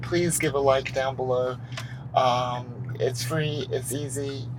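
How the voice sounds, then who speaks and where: A man's voice: medium pitch, steady tone, slow pace. Upbeat man vlogging over background noise. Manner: upbeat, repetitive, enthusiastic. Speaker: host, film director. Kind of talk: casual vlog chat. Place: out and about after packing up car.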